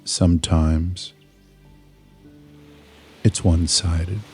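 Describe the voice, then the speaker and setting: Very slow, deep-voiced man guiding meditation. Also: steady tone, soft background music. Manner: hushed pauses between short phrases, measured and soothing. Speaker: guided meditation and hypnosis narrator. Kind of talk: slow scripted guided meditation.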